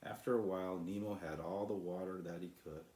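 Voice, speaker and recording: A man's voice: sits low, fairly even intonation, brisk, warm tone, reverberant. A man who is an author, reading and introducing his own children's story as a storyteller. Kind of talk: storybook narration.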